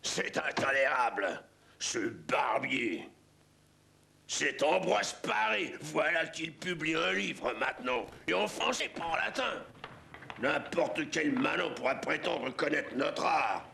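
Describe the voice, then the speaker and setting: Snooty doubting cartoon doctor man, creaky, all over the place pitchwise. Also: lively intonation, slow pace, pushed and bright, exaggerated voice. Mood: scandalized. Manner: pompous, indignant. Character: pompous, skeptical establishment physician, easily scandalized. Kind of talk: animated cartoon dialogue, French dub. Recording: music behind the voice.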